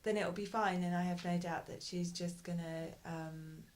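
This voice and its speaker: A woman being interviewed as a parent in a casual conversation, talking thoughtfully and hesitantly with frequent pauses and restarts. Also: on the low side, fairly even intonation, a little mellow. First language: English.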